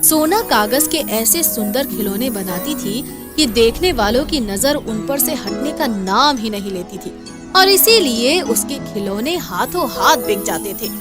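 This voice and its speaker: High-voiced woman storyteller over music. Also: lively intonation, unhurried. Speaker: storyteller, narrator. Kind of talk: scripted moral story narration.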